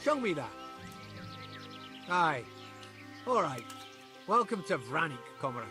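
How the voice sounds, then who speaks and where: An actor playing a suspicious, brusque bandit gate guard in a medieval game. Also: male voice, fairly high pitch, big pitch swings, takes it slowly, music in the background. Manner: brusque, challenging. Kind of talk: video game cutscene acting.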